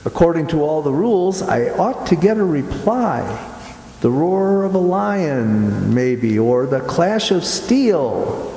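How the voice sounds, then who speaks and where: An actor playing an uneasy, imaginative man in a radio play, speaking haltingly in an echoey hall. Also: sits high, creaky, darkish tone, full character voice. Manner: hesitant, halting, musing. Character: uneasy, imaginative man sightseeing at dusk with his sweetheart. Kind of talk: amateur radio play acting. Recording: echoey hall with long reverb.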